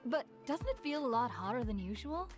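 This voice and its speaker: A woman's voice: on the high side, sing-song, brisk. A woman playing a friendly, chatty young villager in a video game, a little scatterbrained and hesitant. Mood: casual. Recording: game music behind the voice.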